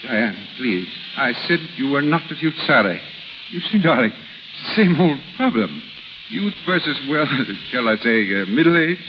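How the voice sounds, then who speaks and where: A middle-aged man acting in a 1930s radio drama as a proud, wounded husband with formal, halting speech. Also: mid-range voice, big pitch swings, close to an everyday voice. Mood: tender, resigned. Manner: formal, halting under emotion. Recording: noisy, sizzling 1930s radio recording.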